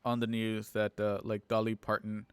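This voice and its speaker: A man hosting an online show, chatting casually and haltingly on a livestream about plans for it. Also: on the low side, completely flat delivery, clear, resonant tone, no echo. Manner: halting, informal. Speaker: host and organizer of an online radio drama show. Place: at home on a multistream video call.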